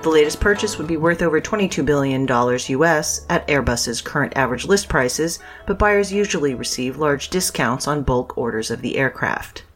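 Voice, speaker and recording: A woman reads the news from a script in a low register. She is formal and precise in her phrasing. Faint music plays underneath. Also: expressive, normal speed, hardly any pauses. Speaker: news reader.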